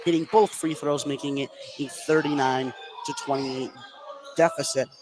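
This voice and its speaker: A man's voice: fairly even intonation, moderate pace. A man doing live play-by-play radio commentary of a basketball game, lifting his voice on big plays. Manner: voice rises into shouts on big plays. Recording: clear gym noise behind the voice. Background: indoor high school gymnasium ambience.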